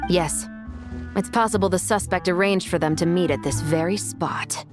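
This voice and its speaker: Brief: actress as no-nonsense young game officer, lowish female voice, measured and businesslike, light music underneath. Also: lightly acted. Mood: serious, deliberate. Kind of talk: English video game voice acting.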